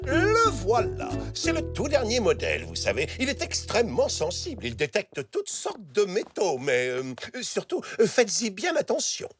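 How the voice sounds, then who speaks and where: A man's voice: sits high, wildly swooping pitch, moderate pace, few pauses, over music. A man voicing a fussy, gadget-proud uncle zebra in a children's cartoon, emphatic and a little hesitant. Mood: proud, wary.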